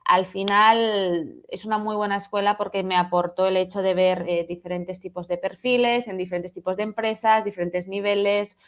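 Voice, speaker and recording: Woman, thirties, from Barcelona, mid pitch, fluent, recruiter guest. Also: level tone, moderate pace, hardly any pauses. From Spain, Barcelona. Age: 30s. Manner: friendly, fluent, mixes in English terms. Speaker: talent acquisition manager, guest. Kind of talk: guest introduction on an online panel.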